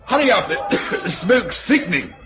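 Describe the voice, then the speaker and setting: A man's voice: high-pitched, big pitch swings, normal speed. An actor playing a man urgently marshalling an evacuation in a 1950s radio drama. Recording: muffled, very noisy 1950s radio, crowd behind.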